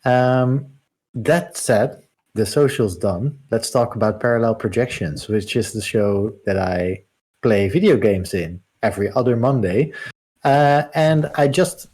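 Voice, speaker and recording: A man's voice: medium pitch, a little slow, a little mellow. A man co-hosting a gaming livestream, talking casually and rambling with lots of fillers. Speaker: co-host.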